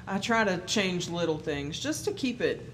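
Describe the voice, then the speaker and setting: A woman singer in casual conversation, agreeable and quick to laugh, saying yeah often. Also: lowish voice, very animated. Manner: agreeable, many yeahs and small laughs. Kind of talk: casual interview chat. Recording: noisy background.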